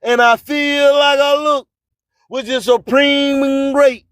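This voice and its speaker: High-pitched, unhurried radio preacher man. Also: fairly even intonation, fairly bright tone, no room sound, raised voice, clean studio sound. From US Gulf Coast. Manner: preacher-like, emphatic, repeats phrases. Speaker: radio host. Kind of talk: motivational radio monologue.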